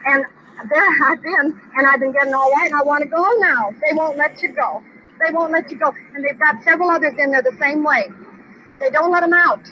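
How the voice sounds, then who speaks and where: A woman's voice: high-pitched, fairly even intonation, fairly quick, pushed and bright. An American woman in her forties, agitated and distressed, giving a rambling, repetitive, pleading account. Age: 40s. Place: gas station, outdoors. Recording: dull, noisy old outdoor field recording.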